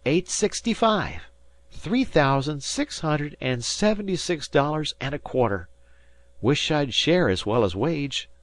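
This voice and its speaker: Man playing plain-spoken, higher-pitched teenage fishing boy. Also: lively intonation, measured pace, no room sound. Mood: brisk, proud. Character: earnest, confident teenage boy working on a fishing schooner.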